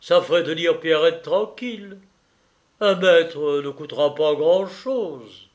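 A man's voice: a little high, takes it slowly. A man voicing a dull, fretful bachelor in a storybook reading, hesitant and plodding.

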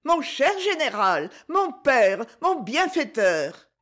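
A scheming, fawning boy begs with gushing cries, voiced by a woman reading the story. The pitch sits well above her own and leaps about. It is a storybook reading. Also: sing-song, unhurried. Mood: pleading. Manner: pleading, gushing.

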